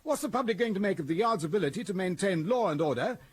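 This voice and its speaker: An actor playing an imperious British police commissioner in a radio drama, barking clipped commands. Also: male voice, sits high, lively intonation, fast talker, slightly rough. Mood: blustering, exasperated.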